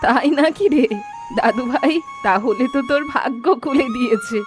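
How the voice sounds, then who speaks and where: A woman's voice: high-pitched, normal speed, natural voice. A woman voicing a kindly, contented older village grandmother in a cartoon, with a homely, affectionate manner. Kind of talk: cartoon voice acting. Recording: music clearly playing behind the voice.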